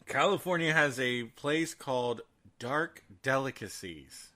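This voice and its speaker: A man's voice: mid-range voice, animated, measured pace, sharp tone. A man co-hosting a casual podcast, emphatic and fond of repeating phrases for emphasis. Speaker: podcast co-host. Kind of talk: casual horror news chat.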